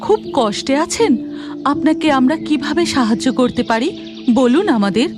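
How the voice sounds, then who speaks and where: Woman as a high, lively sunflower fairy. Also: moderate pace, music in the background. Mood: eager, caring. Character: kind, eager young sunflower fairy girl. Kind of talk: children's cartoon dubbing.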